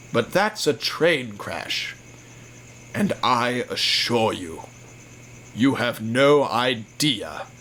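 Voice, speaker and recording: A man playing an old steam engine in an audio drama, confessing darkly in a grave, measured voice. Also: medium pitch, fairly bright tone, a little hiss or hum, poor audio. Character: old male engine confessing a dark secret.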